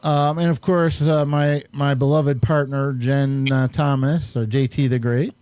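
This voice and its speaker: A man hosts a casual show in a mellow, relaxed voice of middling pitch. He speaks haltingly, feeling his way through introductions and informal remarks. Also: clear, resonant tone, no echo. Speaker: show host.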